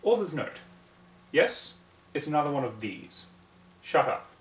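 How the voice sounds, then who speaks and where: A man's voice: a little high, very animated, unhurried, slight background noise. A man reading fan fiction aloud at home in an amateur storybook narration style. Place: room at home. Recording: roomy, distant mic, home recording.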